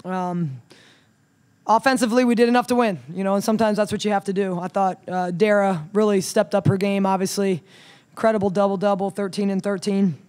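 A woman in her thirties, a head coach, talking through a game at a postgame press conference in a measured way, with many ums and restarts, into a table mic. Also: mid-range voice, steady tone, darkish tone. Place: press room in front of a logo backdrop. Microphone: table mic on a stand.

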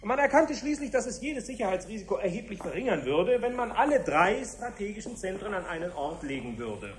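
A brisk official with a high-pitched voice, in a 1970s radio play. He explains in formal, orderly sentences, as in a briefing. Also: very animated, slight background noise. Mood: formal, explanatory. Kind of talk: 1970s German radio drama acting.

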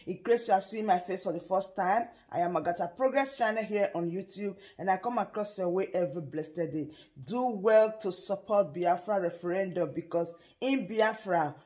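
A woman's voice: medium pitch, a little mellow. A middle-aged woman with a Nigerian accent, addressing viewers as the host of an online channel. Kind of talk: greeting viewers, reaction commentary. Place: small indoor room.

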